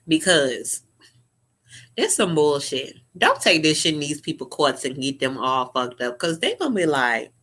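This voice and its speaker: A young woman vlogger giving sassy, profane, animated reaction commentary to camera. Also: deep voice, unhurried.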